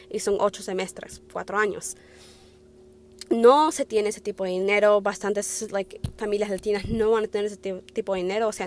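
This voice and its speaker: A young woman in her twenties talking casually on her podcast in Spanish, hesitant, full of fillers and restarts, with English words slipping in. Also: mid-range voice. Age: 20s. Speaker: podcast host. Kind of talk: casual personal talk.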